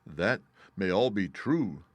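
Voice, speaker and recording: A man reading aloud and voicing an earnest scarecrow who pleads slowly for brains. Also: deep voice, very animated, no room sound, full character voice. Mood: pleading. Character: straw-stuffed scarecrow, earnest and wistful.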